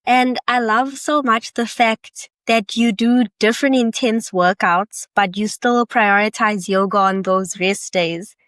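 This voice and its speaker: A woman's voice: medium pitch, steady tone, a little slow, few pauses, a bit bright, no room sound. A woman hosting a wellness podcast, introducing her guest and asking questions in an upbeat, affirming manner. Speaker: podcast host. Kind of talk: interview hosting.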